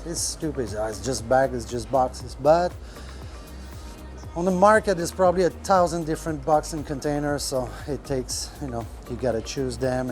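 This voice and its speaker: Man talking shop, halting. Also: mid-range voice, darkish tone. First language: French. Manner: rambling, many fillers, searches for words. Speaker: restaurant owner. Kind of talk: casual podcast talk. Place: restaurant kitchen.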